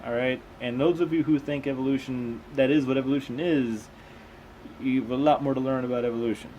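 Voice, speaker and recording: A man's voice: medium pitch, steady tone, a little fast, mellow, a little hiss or hum, poor audio. A man reading aloud and commenting casually as a host, with a skeptical, questioning manner. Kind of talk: casual commentary between read-aloud passages.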